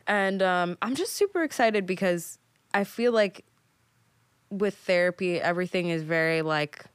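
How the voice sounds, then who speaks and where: A woman's voice: fairly low pitch, moderate pace, smooth, no echo. A young woman in her twenties, producing and co-hosting a podcast, upbeat and chatty with lots of fillers. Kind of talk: casual podcast chat.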